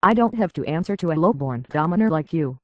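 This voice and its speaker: A woman's voice: low voice, lively intonation, quick pace, no echo, lo-fi sound. A woman voicing a haughty, petulant rich heiress in a robot body for a video game, with pompous, scornful, theatrical diction through a metallic filter. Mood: indignant.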